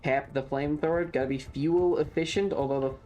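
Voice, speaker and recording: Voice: medium pitch, fairly even intonation, brisk, a little mellow, muffled recording. Playful streamer rambling over game music. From southern United States. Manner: playful, mock-serious rambling. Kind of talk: casual video game commentary.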